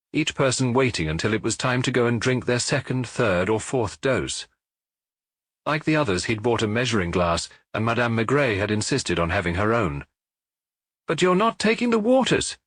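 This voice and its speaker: A man reading a novel aloud as an audiobook narrator, in an expressive, measured storytelling manner. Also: mid-range voice, no echo.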